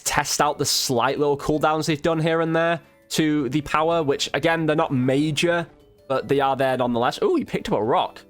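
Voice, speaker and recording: A man's voice: fairly high pitch, fairly bright tone. A man giving casual, slangy gameplay commentary as a video creator, thinking aloud as he goes.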